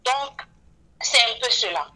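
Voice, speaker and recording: A woman, speaking earnestly as a beautician guest on a radio interview and often filling pauses with "voilà". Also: a little high, animated, bright, forceful tone. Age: 40s. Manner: earnest, frequent "voilà" fillers.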